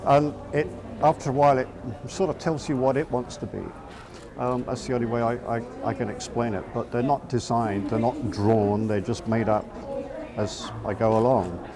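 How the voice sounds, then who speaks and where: A man's voice: medium pitch, fairly even intonation, normal speed, crowd noise. A middle-aged man from England with a southeast English accent, an artist speaking casually in an interview, hesitant with many fillers and restarts. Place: outdoors in a town.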